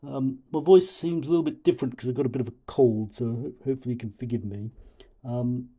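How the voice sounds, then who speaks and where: A man voicing a pompous, booming oaf with a cold, delivering halting mock-scholarly film criticism. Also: fairly even intonation, dark tone, slightly rough. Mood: boastful, aggrieved. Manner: pompous, mock-intellectual, halting over long words. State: has a cold.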